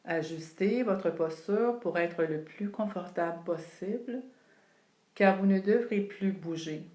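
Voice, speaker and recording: A woman leading a guided yoga relaxation, speaking calmly and soothingly with long pauses between phrases. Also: mid-range voice. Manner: calm, unhurried, soothing delivery with long pauses. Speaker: yoga nidra instructor. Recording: roomy, echoing sound.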